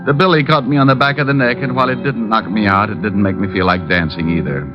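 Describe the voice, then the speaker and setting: A man's voice: fairly low pitch, quick pace, music in the background, natural voice. Wry gumshoe narrator played by a man, vintage radio drama, dulled sound, musical backing. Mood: wry, brisk. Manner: wry, self-mocking. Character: wisecracking male private detective narrating his own case. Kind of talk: 1940s radio detective acting. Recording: muffled old radio broadcast, musical backing.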